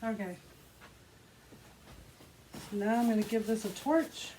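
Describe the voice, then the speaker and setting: A woman hosting an art tutorial, chatting casually and narrating each step as she works. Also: mid-range voice. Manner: unhurried step-by-step narration of own actions. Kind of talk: casual craft demonstration, talking while working. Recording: clearly audible background noise.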